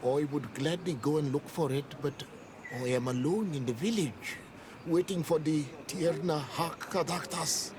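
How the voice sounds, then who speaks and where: An actor playing a wary village acolyte in a video game, guarded, formal and earnest with outsiders. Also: male voice, fairly high pitch, a little slow, background noise, natural voice. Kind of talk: video game cutscene acting.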